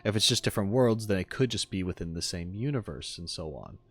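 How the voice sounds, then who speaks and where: A man's voice: low voice, animated, fast, a bit bright, breathy edge. A man giving casual, off-the-cuff streamer commentary, musing and thinking aloud as he goes. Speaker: streamer reacting to game trailers and voice lines.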